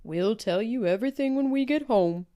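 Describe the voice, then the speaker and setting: A woman voicing a bold, excited teenage big brother in a children's storybook, emphatic and declarative. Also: a little high, moderate pace, pitched above the performer's own voice, lightly acted. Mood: promising.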